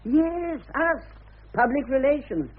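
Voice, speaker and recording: A man's voice: very high-pitched, lively intonation, takes it slowly, phone-quality sound, exaggerated voice. An actor playing a glib, exuberant, scheming publicity man in a radio drama, theatrical and persuasive. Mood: breezy persuasion. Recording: band-limited old radio broadcast.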